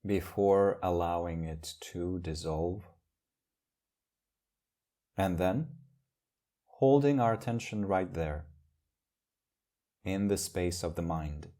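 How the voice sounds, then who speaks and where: A man leading a guided meditation as a teacher, speaking in gentle, measured phrases with long pauses. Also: sits low.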